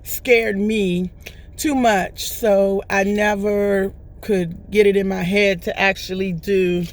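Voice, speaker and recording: A woman with a hoarse voice that is still recovering, talking casually and at length to her vlog viewers and often asking "right?". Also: mid-range voice, level tone, slow pace. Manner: rambling, chatty, often checks in with "right?". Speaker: vlogger. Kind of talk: casual testimonial chat.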